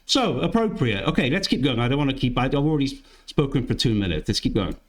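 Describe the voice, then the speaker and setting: A man's voice: medium pitch, very animated, fast, slight background noise. A man whose first language is Italian hosts a music reaction channel, giving casual, musing commentary that often restarts mid-sentence. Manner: chatty and musing, with frequent restarts.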